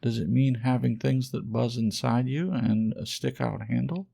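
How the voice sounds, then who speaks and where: A man's voice: medium pitch, moderate pace, vocal fry, mellow, pitched above the performer's own voice. A man voicing a small, naive toy rabbit in a storybook, asking questions in an earnest, timid way. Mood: curious, wistful.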